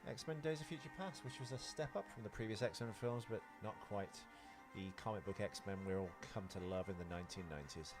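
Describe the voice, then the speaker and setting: A man's voice: on the low side, fairly quick, hardly any pauses, music in the background. A man co-hosting a podcast, chatting casually about films in a rambling, jokey way. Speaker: podcast co-host. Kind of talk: casual film chat.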